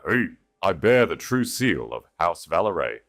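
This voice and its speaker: Fantasy audiobook heroine voiced by a man, young, resolute, authoritative, delivered very low. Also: sing-song, fairly bright tone, faint music behind, full character voice. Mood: commanding. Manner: declarative, commanding, measured. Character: resolute young rightful princess with regal authority.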